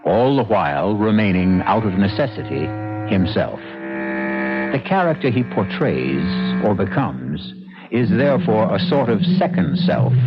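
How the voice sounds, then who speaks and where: A man hosting an old-time radio mystery series with deliberate, suspenseful, theatrical scripted narration. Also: lowish voice. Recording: dull vintage radio broadcast. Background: loud dramatic music.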